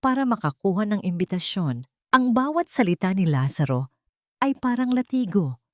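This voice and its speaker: A woman's voice: medium pitch, big pitch swings, moderate pace, a little mellow, no echo. A woman reading a scripted, dramatic love story as a storyteller.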